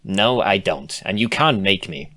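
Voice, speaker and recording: A man's voice: deep voice, expressive, unhurried, pushed and bright, full character voice. A man voicing a defensive, laid-back female cartoon unicorn, grumbling with sardonic reluctance in a storybook reading. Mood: embarrassed, stubborn.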